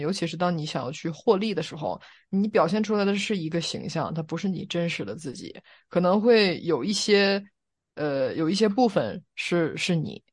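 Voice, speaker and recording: A woman co-hosting a podcast, giving her opinions in casual chat and explaining them reflectively, point by point. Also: fairly low pitch. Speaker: podcast co-host.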